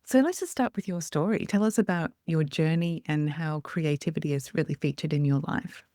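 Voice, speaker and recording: Low-voiced woman hosting a podcast. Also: a little fast, warm tone, no echo. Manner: scripted intro, then brief listening murmurs. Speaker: podcast host. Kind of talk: podcast interview.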